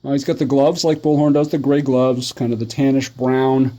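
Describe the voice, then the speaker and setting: A man's voice: medium pitch, fairly even intonation, moderate pace, warm tone. A middle-aged man giving a casual, conversational video review, talking in a rambling enthusiast's way. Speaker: toy review host.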